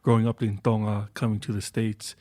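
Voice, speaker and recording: Host: low-pitched man asking loose, laid-back questions, dry close mic. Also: a little fast, no echo. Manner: relaxed, filler-heavy questions. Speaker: interviewer. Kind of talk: casual podcast interview.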